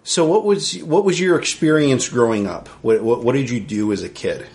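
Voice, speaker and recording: A man's voice: mid-range voice, normal speed, gravelly, darkish tone. A man from Central New York hosting a podcast interview, friendly and chatty, often repeating words as he starts a sentence. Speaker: podcast host. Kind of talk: casual interview chat.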